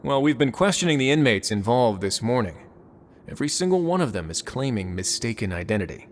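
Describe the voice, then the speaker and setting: An actor voicing a composed, authoritative male warden who speaks in a measured way with dry wit, in a video game. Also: medium pitch, big pitch swings, close to an everyday voice.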